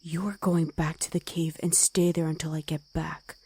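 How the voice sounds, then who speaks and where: Woman voicing a stern, husky wolf girl. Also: low voice, flat delivery, moderate pace. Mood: angry, defiant. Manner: stern, commanding, often dropping to a hush. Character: gruff, protective female wolf girl, ex-soldier. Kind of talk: ASMR roleplay voice acting.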